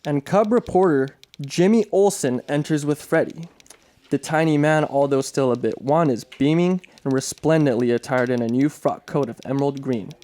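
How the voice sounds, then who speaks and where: A man's voice: a little high, normal speed, dark tone. A man reading dramatic scripted narration for a radio play, now and then stumbling over a word. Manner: stumbles and repeats words while reading.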